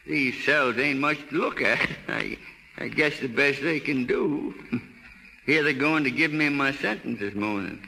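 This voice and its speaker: An actor playing a folksy, stubborn old hound owner with a rural American drawl and halting, plain-spoken manner in a 1930s radio drama. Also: male voice, mid-range voice, bright, forceful tone, low-quality recording. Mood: resigned, gentle. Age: older. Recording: hissy 1930s radio recording with clicks.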